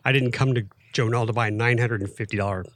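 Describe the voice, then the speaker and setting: Chatty man with a loud voice. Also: mid-range voice, steady tone, fast talker, dry recording, clean studio sound. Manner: chatty, rambling with restarts, self-described loud voice. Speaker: podcast co-host. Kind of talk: casual chat. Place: outdoor flying event.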